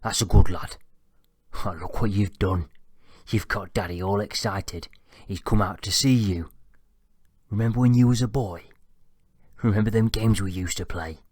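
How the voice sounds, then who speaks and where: A man voicing a crude, abusive, bedridden old father, gruff and demanding. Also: lowish voice, moderate pace, long pauses, darkish tone, dry recording, clean studio sound. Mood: mocking. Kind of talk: audiobook character voice.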